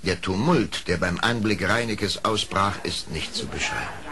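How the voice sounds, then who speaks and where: A man narrating a storybook radio play in a measured, literary way. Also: low voice, crowd noise. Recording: old record with faint noise.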